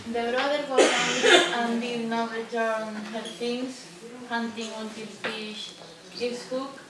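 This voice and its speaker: A young woman reading out scripted narration for a puppet show in a halting way. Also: on the high side, steady tone. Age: teenager or young adult. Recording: echoey room from far off, loud audience noise.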